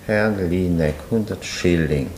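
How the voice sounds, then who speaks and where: A man's voice: low voice, slow pace, background noise. A man acting in a German radio play as a brusque post office clerk with a clipped, official manner. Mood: brusque, routine. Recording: music behind the voice.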